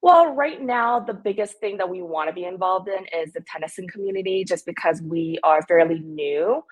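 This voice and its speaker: An enthusiastic woman in her thirties, originally from Korea, chatting as a guest on a local podcast. Her voice sits a little low for a woman, and she sounds upbeat and eager as she talks through her answers. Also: moderate pace, poor audio. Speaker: restaurant owner guest. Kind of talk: casual podcast interview.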